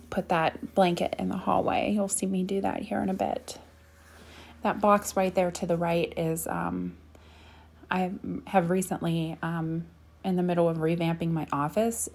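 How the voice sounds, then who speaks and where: A woman's voice: sits low, a little mellow. A woman in her thirties chatting casually over her home cleaning video, rambling with lots of ums. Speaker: cleaning vlogger. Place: home bedroom.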